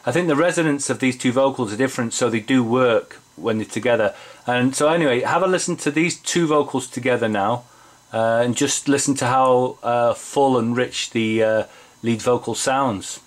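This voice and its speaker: A man's voice: medium pitch, moderate pace. A man giving a casual, rambling tutorial from his home studio, with frequent fillers and restarts. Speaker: home recording hobbyist.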